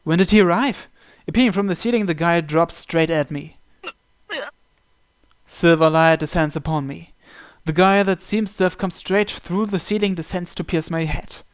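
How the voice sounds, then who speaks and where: A man reading a visual novel aloud in an expressive, dramatic first-person narration with occasional stumbles. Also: a little high, normal speed, clean tone, dry recording.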